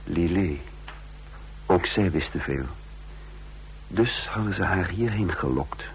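A man's voice: deep voice, slow, a little hiss or hum, poor audio. An actor playing a defiant trained fighter who recounts his own escape in a radio drama, with a sardonic edge. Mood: tense recounting. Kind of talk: radio drama, first-person narration and dialogue.

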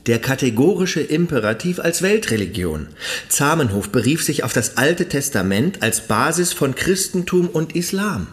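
A man's voice: a little high, sing-song, normal speed. An actor playing a learned modern lecturer in a radio play, arguing his case in a didactic way.

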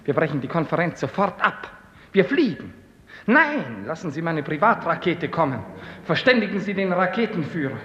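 High-pitched, pompous tyrant boss. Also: male voice, very animated, normal speed, a bit bright. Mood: impatient. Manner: pompous, imperious, theatrical. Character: tyrannical, capricious, self-important male director-general ruler. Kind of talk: 1950s German radio drama acting. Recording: noisy, muffled vintage radio recording.

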